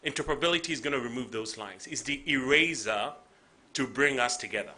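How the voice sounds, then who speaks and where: Ghanaian guy pumping up a conference crowd, really bright voice, keeps repeating lines. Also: medium pitch, fast talker. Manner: rallying, repeats phrases for emphasis. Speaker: panel speaker. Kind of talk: conference speech.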